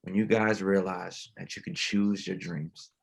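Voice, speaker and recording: An actor playing a gentle, grieving pastor in a dramatic table read, speaking plainly and haltingly. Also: male voice, low-pitched, steady tone, vocal fry, breathy edge, heavily acted. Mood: reflective. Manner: reflective, plain-spoken, halting. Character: grieving, gentle, reflective male pastor, widowed father.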